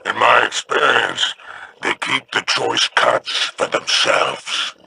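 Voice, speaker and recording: Very gravelly, greedy alien crime boss. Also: male voice, medium pitch, fairly even intonation, bright, forceful tone, dry recording, full character voice. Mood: greedy, sly. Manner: slow, mocking, drawn-out delivery. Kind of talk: video game character acting.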